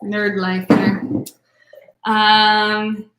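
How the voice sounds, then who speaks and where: A woman's voice: mid-range voice, little pitch movement, very slow, fairly bright tone, roomy sound, a little hiss or hum, rough recording. A woman teaching an online wine class in a chatty way, full of ums, calling on attendees by name. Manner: chatty, filler-heavy, addresses attendees by name.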